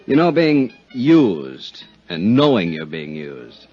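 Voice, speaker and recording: An actor playing a tired, brusque, embittered doctor in a 1950s radio drama, with a gruff, curt manner. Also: male voice, deep voice, big pitch swings, takes it slowly, full character voice. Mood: bitter, regretful. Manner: gruff, curt, world-weary. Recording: dull 1950s radio recording with music.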